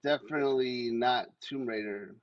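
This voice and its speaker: Male podcast co-host, average pitch, blunt and sweary, dry but dull-sounding mic. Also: normal speed, no echo. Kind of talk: casual opinionated podcast debate.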